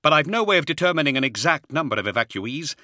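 A man voicing a wiry male army colonel who reports in a loud, strained voice. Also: fairly high pitch, big pitch swings, fast, dry recording, studio quality, pitched above the performer's own voice. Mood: urgent. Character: urgent male soldier colonel, wiry, shouting.

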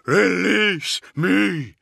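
A man voicing a vast, ancient leviathan demon in an audiobook, thundering slow, imperious commands in fury. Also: high-pitched, gravelly, pushed and bright, slightly rough, no room sound, clean studio sound, pitched above the performer's own voice, full character voice. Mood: thunderous demand.

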